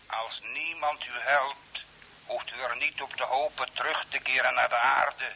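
A secretive, cryptic stranger calls over space radio in an old radio drama. The voice is extremely sharp and bright through a radio filter, measured and guarded, at a medium pitch. Faint hiss sits behind it. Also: male voice, steady tone, gravelly, exaggerated voice. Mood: secretive, warning. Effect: radio transmission filter.

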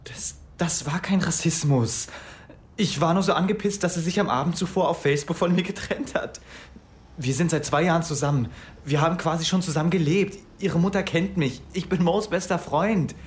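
An actor playing a defensive high-school student in a radio drama, justifying himself to his teacher. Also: normal speed, lightly acted. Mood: pleading. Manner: defensive, pleading.

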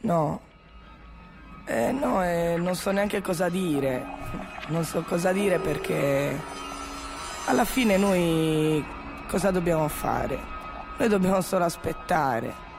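A man's voice: high-pitched, animated, takes it slowly, gravelly, pauses often, exaggerated voice. A man playing a hurt, abandoned grown son in an audio drama, hesitant and repeating himself with resignation. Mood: resigned, hurt. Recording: music behind the voice.

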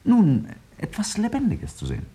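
An actor playing a bold, provocative scientist in a 1950s radio drama, speaking in a deliberate, didactic and emphatic way. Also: male voice, high-pitched, huge pitch swings, mellow. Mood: grave, emphatic. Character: bold, provocative male scientist arguing a startling theory.

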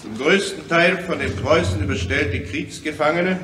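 A man's voice: a little high, normal speed, fairly bright tone, close to an everyday voice. An actor in a German radio drama playing a grave revolutionary chairman, declaiming solemnly in short phrases from afar in an echoing hall. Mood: grave, urgent. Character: grave revolutionary chairman warning the assembled delegates. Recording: echoey hall, distant from microphone.

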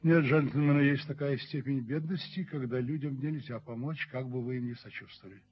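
Actor as a pompous middle-aged landlord, muffled recording. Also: male voice, mid-range voice, fairly even intonation, natural voice. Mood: self-justifying, firm. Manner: measured, rhetorical, pompous. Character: dignified middle-aged male slum landlord, self-righteous, coolly persuasive. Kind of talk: 1970s Soviet radio play acting. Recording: muffled old radio recording with noise.

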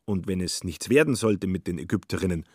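A man with a husky voice reading scripted literary narration in a radio play. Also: deep voice, animated, brisk, dry recording, clean studio sound.

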